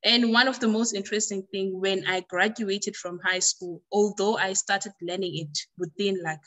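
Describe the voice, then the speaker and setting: A South African woman answering interview questions conversationally, explaining and often rephrasing to make her point clear. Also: on the low side, sharp tone, rough recording. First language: Xhosa. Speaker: language tutor being interviewed.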